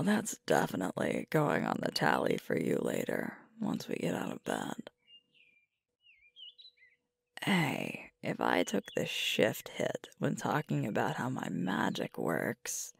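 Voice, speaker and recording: A woman playing a sweet, earnest young werewolf pack leader in an intimate ASMR girlfriend roleplay, breathy and tender, close to the microphone. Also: a bass voice, wildly swooping pitch, no echo, exaggerated voice. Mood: playful, teasing. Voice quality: breathy, whispery. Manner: slow, tender, hesitant, with pauses. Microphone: close microphone, dry.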